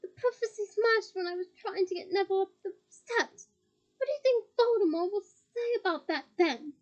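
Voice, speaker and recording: A teenage boy wizard from a storybook, loud and defiant, taunting his enemy while in pain. His voice sits raised and strained, voiced by a woman reading aloud. He shouts more than he speaks. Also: very high voice, moderate pace, lo-fi sound, pitched above the performer's own voice.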